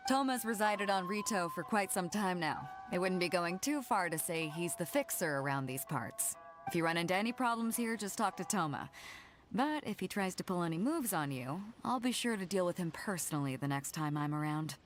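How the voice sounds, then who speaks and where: Actress as bold female sea captain, video game, lowish voice, breezy and teasing, music faintly behind. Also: lively intonation, brisk, natural voice. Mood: teasing, protective. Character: bold, confident adult woman sea captain.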